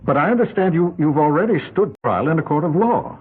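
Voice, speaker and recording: Insistent pilot on tinny old radio. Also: male voice, fairly high pitch, lively intonation, husky. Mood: insistent, exasperated. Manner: measured suspenseful delivery, probing questions. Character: stranded male pilot guest, alarmed, insistent, level-headed. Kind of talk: old-time radio drama acting, first-person narration and dialogue. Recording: tinny old radio broadcast, loud music.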